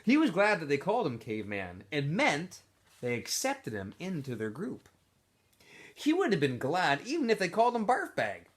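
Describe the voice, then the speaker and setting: A man, a teacher reading a novel aloud to students in an expressive, informal storytelling style. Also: a little high, moderate pace.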